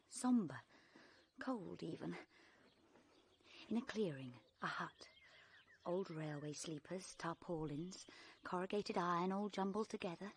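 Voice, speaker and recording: Bossy young english heroine, old radio play, animated, bit of hiss behind. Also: female voice, lowish voice. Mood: narrating, hushed. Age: young adult. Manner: brisk, forthright. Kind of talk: vintage BBC radio drama acting.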